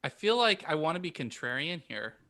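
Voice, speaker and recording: Bright, higher-pitched man chatting casually. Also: big pitch swings, brisk. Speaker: podcast co-host. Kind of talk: casual chat.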